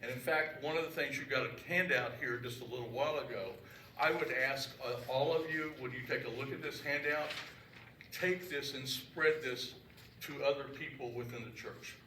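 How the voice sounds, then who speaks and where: Loud joking man in an echoey hall. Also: mid-range voice, normal speed. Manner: joking, loud, talks off his notes. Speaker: trustee giving a report. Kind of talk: informal talk at a meeting. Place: church meeting room. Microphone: handheld microphone. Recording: echoey room, mic far off, background noise.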